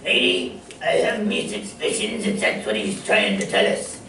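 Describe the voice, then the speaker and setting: A man playing a gruff, good-natured cartoon sailor who mutters and stammers in salty talk, in an old-time radio comedy style. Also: high voice, moderate pace, sharp tone, heavily acted. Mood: muttering, puzzled. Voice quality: gravelly. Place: playhouse stage before a live audience. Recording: echoey hall from far off, faint noise.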